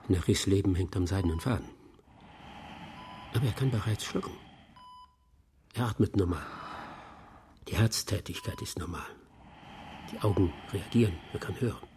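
An actor playing a self-assured, coolly clinical professor of surgery in a radio crime drama, precise and matter-of-fact. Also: male voice, lowish voice, expressive, moderate pace, pauses often, background noise, close to an everyday voice. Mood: calm, sober. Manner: matter-of-fact, precise, unfazed by gruesome detail.

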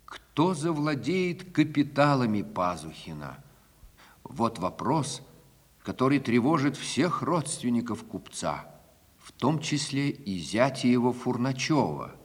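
Casting: male narrator, unhurried scripted drama introduction, reverberant hall. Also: mid-range voice, very animated, husky. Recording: long reverberant hall sound.

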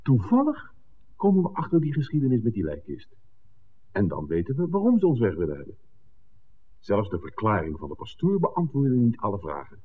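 An actor playing a shrewd, excitable filmmaker who reasons aloud emphatically in a 1960s Dutch radio drama. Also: male voice, medium pitch, a little fast. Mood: agitated, reasoning. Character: John, shrewd excitable filmmaker piecing together a smuggling plot. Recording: roomy reverb, phone-like old radio recording.

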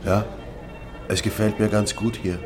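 Radio drama writer, male actor: earnest newcomer, reserved delivery, lower-pitched man's voice, music in the background. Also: flat delivery, a little slow, lightly acted. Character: earnest, idealistic male writer newly arrived in town. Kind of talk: German radio play dialogue. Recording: music behind the voice.

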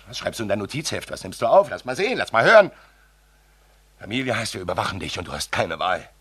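An actor playing a tormented young writer taping an audio diary, agitated and halting, in a theatrical monologue with a husky voice. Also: male voice, lowish voice, big pitch swings, fairly bright tone, full character voice. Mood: anguished, accusing. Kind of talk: dramatic monologue in an audio drama.

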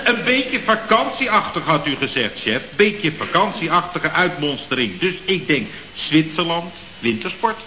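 Servile apologetic clerk, bright voice, faint hiss, old radio. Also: male voice, fairly high pitch, very animated, unhurried, hardly any pauses, lo-fi sound, full character voice. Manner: apologetic, addresses his boss deferentially. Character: servile, apologetic male employee. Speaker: employee, called Paul.

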